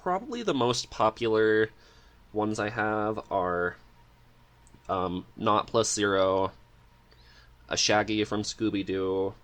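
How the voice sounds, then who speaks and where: A man's voice: fairly low pitch, lively intonation, takes it slowly, pauses often, fairly bright tone. A man talking casually as a podcast guest, chatty and eager in manner.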